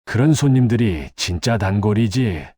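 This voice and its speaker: A man playing a steady, kindly husband in an audio drama, with a husky voice and a plain, reassuring manner. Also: on the low side, normal speed, no room sound, clean studio sound. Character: steady, kindly husband working in a restaurant kitchen.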